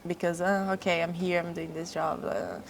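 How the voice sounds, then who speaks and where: A young woman from Brazil with a Brazilian Portuguese accent, speaking English as a second language, chatting as a podcast guest with halting phrasing. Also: fairly low pitch, level tone, vocal fry, noisy background. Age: young adult. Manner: halting non-native phrasing, frequent laughs. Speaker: guest model. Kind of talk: casual interview chat.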